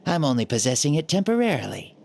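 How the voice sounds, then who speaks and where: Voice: mid-range voice, big pitch swings, quick pace, vocal fry, a little mellow, slight background noise. An actor voicing a playful ancient hero spirit in a young boy's body, cocky and theatrical, for a video game. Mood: assured.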